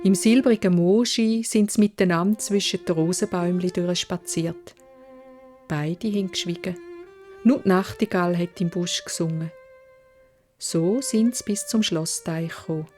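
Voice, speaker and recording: A woman's voice: lowish voice, moderate pace, a little mellow, faint music behind. A woman with a Swiss German accent narrating a fairy tale for children in dialect, as a storyteller.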